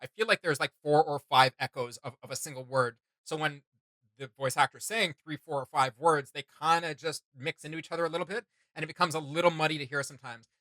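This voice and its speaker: A man with a very bright, forward voice pitched a little high, talking casually as a reaction host. He rambles through his opinions with a fan's enthusiasm, and the sound is close and dry. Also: fast talker, no room sound.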